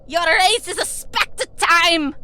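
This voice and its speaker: A woman's voice: very high voice, slow pace, bright, forceful tone, exaggerated voice. A woman playing a haughty, furious elf, shrieking with scorn in an audiobook drama. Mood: furious contempt.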